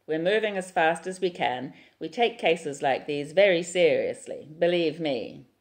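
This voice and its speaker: A woman voicing a calm, official male police officer who speaks briskly and reassuringly. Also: low voice.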